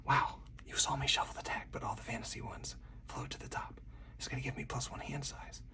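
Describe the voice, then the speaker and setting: Male ASMR game host: hushed breathy whisper, slow and deliberate, a little off-mic. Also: medium pitch, little pitch movement, far from the mic. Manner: slow, soothing, deliberate step-by-step narration. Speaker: solo board game player and channel host.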